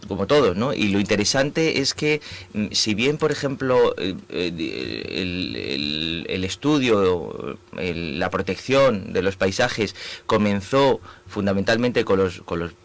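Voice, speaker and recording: Spanish-accented male academic, low voice, hesitant radio guest. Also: expressive, takes it slowly, gravelly. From Spain. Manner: hesitant, many fillers and restarts. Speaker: guest, urban planning professor. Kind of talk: radio interview. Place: radio studio.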